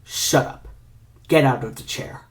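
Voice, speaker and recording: A performer voicing a cold, curt male soldier in an audio story, speaking in a terse, low growl. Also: measured pace, lightly acted. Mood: cold menace.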